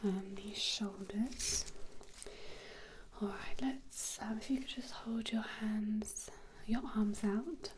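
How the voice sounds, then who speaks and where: A woman whispering gently and unhurriedly in an ASMR role-play, as if conducting a measuring appointment. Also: medium pitch, fairly even intonation, slow pace, noisy background.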